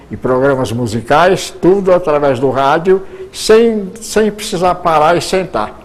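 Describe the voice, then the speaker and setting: An older Brazilian man, a veteran radio actor, telling stories in an unhurried way with pauses and restarts as an interview guest. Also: medium pitch, vocal fry, a little hiss or hum. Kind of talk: reminiscing interview answers.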